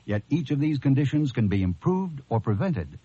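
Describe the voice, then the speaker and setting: A man's voice: mid-range voice, big pitch swings, moderate pace, dark tone, slightly rough. A man reading a scripted public service announcement in an earnest, composed way. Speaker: charity announcement narrator. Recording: dull, dry radio broadcast.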